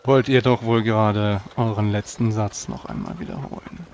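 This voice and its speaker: A man's voice: on the low side, steady tone, moderate pace, creaky, darkish tone. A man playing a tabletop roleplaying game, speaking in solemn, formal in-character speech.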